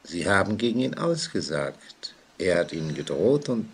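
An actor playing a composed, authoritative prison inspector in a vintage German radio play, formal, deliberate and patiently firm. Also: male voice, sits low, very animated, breathy edge, heavily acted. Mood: firm, reassuring.

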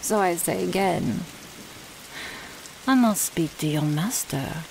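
Woman as a very slow-spoken French villainess. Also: on the low side, expressive, creaky, darkish tone, a little hiss or hum, exaggerated voice, low-quality recording. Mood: mocking. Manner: slow, deliberate, mocking. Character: taunting, menacing French vampire thrall, woman. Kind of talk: ASMR audio roleplay.

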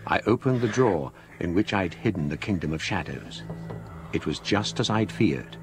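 An actor playing a scholarly middle-aged gentleman, gravely recounting a ghostly ordeal in measured literary radio-drama narration. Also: deep voice, lively intonation.

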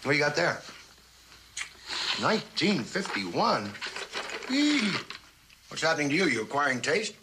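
An actor playing a cocky, wisecracking novelist and charmer in a jaunty, teasing, theatrical style of old television drama. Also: male voice, on the high side, big pitch swings, measured pace, pauses often. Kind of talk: 1960s television drama acting. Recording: old TV soundtrack, faint hiss.

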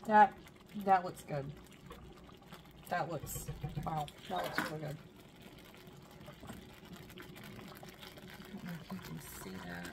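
Woman, home cooking vlogger, gravelly edge, chatty and self-deprecating, noisy kitchen audio. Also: sits low, sing-song, slow pace, pauses often. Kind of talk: casual cook-along narration. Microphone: camera overhead, speaker partly off frame.

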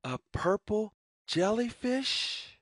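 Very slow, sing-song woman reading to children. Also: medium pitch, big pitch swings, breathy edge, no room sound, clean studio sound. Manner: sing-song, deliberate word-by-word phrasing. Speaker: story reader. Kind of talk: children's picture-book read-aloud.

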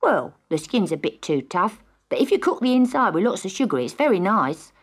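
A woman's voice: medium pitch, very animated, a little fast, breathy edge. A woman voicing a cheeky, friendly village boy in a storybook, with an English accent. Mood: cheerful.

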